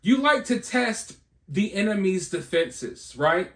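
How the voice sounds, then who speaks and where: A man's voice: high voice, sing-song, slow pace. An American man giving informal, speculative news commentary in a conversational, rhetorical way, often checking in with his listeners. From United States. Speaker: online video commentator and channel host. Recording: roomy, echoing voice recording.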